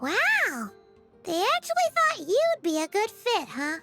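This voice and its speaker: A tiny fairy sidekick for a game, with a very high childlike voice that swoops wildly. She is chirpy and nosy, and music plays behind her. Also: huge pitch swings, measured pace, heavily acted. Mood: chirpy, curious. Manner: refers to self in third person. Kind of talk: animated game voice acting.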